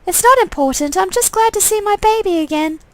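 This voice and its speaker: Lady doing a gushy sweet mum for a game, really high voice, bit creepy cheerful, dry close sound. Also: lively intonation, a little fast, dry recording. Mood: fond. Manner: fond, sweetly fussing, gushing. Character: doting, unsettlingly cheerful middle-aged mother.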